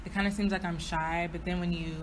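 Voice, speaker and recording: A woman's voice: on the low side, steady tone, fast, a little hiss or hum. A woman in her early twenties from Houston co-hosting a podcast in casual, slangy chat with easy swearing. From Houston, Texas. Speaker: podcast co-host.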